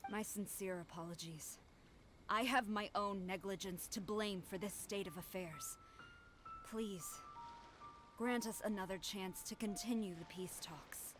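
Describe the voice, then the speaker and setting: A woman plays a stern young female general from a video game, commanding and formal. Her voice sits at an ordinary middle pitch. Faint music runs behind her. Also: unhurried, lightly acted. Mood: apologetic.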